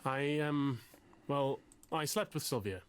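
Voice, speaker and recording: An actor playing an anxious, bookish, self-pitying man in a game, talking in a wordy, halting, confessional way. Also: medium pitch, clear, resonant tone, natural voice. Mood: nervous, awed. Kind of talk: confessional dramatic game dialogue.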